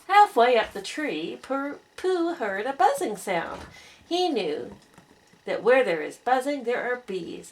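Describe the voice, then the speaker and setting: A woman reading a children's storybook aloud in an expressive narrating style. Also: on the high side, slight background noise.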